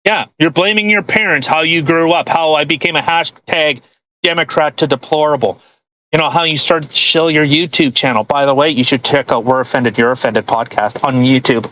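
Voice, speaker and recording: A man with a voice a little higher than average talks casually as a podcast co-host. He is sarcastic and argumentative, picking things apart in a mocking way. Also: a little fast, sharp tone, poor audio. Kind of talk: casual chat, mocking commentary on a post read aloud.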